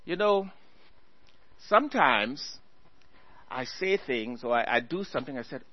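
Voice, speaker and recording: A man preaching a sermon, earnestly exhorting his listeners and reading scripture aloud slowly. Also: medium pitch, animated, muffled recording.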